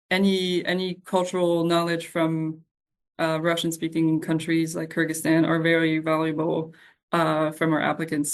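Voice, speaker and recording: A woman with a slightly low voice that moves very little in pitch. She answers questions informally as a staff member, hesitating as she goes and offering reassurance.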